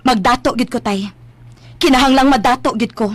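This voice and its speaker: Chatty young cebuano daughter in a radio drama, really high voice, big dreams, dry close sound. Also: female voice, normal speed, no room sound. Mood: earnest, confident. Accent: Filipino, Cebuano. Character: ambitious, playful young daughter who dreams of riches.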